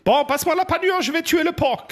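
A man's voice: very high-pitched, fast. A man playing a pompous, scolding sixteenth-century imperial cook with an exaggerated German accent in a radio comedy sketch. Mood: grandly gloomy. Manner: pompous, florid insults peppered with German words.

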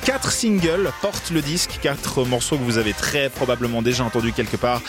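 A man from Switzerland hosting a radio music segment, telling a band's story in a lively, jokey way with frequent fillers and restarts. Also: mid-range voice, a little fast. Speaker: radio host. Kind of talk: radio music chronicle with banter.

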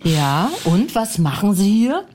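A woman's voice: medium pitch, very animated, slow, a crowd in the background. A woman in a comedy sketch, talking bluntly and brashly, ranting, mocking the other person and laughing often.

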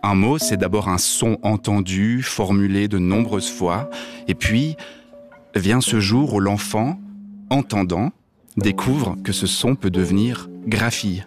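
A man voicing a blunt deaf man, low voice. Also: slow. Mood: earnest. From Switzerland. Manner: blunt, mildly provocative, direct address. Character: deaf man who dislikes reading novels, blunt. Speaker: radio columnist. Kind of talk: scripted first-person monologue over music. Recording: music playing underneath.

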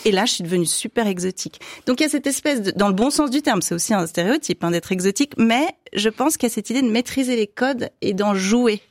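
A woman taking part as a guest on a radio discussion, speaking in a thoughtful, expressive way. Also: fairly high pitch, fast talker, few pauses, no room sound, studio quality.

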